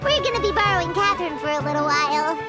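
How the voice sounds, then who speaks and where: A woman voicing a tiny, excitable childlike fairy companion in a video game, with a squeaky voice and exclamatory manner. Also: fast talker, over loud music. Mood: chatty, eager. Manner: exclamatory, refers to herself by name.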